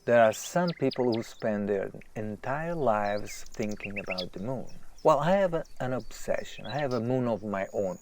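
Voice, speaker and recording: An actor playing a famous male writer, agitated, self-deprecating and obsessed with his work, halting and repeating himself in a naturalistic audio drama. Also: lowish voice, expressive, a little hiss or hum. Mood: wound up.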